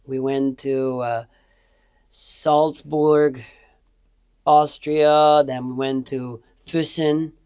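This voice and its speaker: A man's voice: a little high, fairly even intonation, extremely slow, darkish tone, clean tone. Chatty man hosting a podcast. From born in the United States, raised in Hungary. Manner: rambling, chatty, laughs often. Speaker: podcast host. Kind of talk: casual conversational interview.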